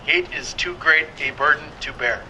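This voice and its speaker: A man's voice: a little high, steady tone, moderate pace, bright, forceful tone, a little hiss or hum. A man, a police chief, reading a quotation aloud.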